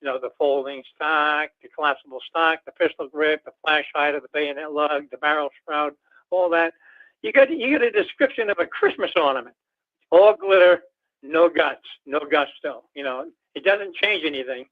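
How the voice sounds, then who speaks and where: Tinny phone line, quick higher-voiced male caller. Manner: rambling, folksy, leans on filler phrases. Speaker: caller to a radio show. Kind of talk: casual political phone-in chat. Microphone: telephone.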